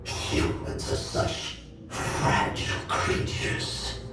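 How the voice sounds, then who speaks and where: An actor playing an ancient, sly nightmare monster, a theatrical and formally courteous game villain. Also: male voice, very slow. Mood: courtly, menacing. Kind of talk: video game voice acting. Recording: cavernous echo, distant, under music.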